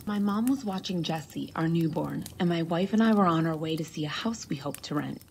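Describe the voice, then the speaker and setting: A woman reading a scripted first-person horror story in a measured, conversational way. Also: deep voice, creaky, a little mellow, slight background noise.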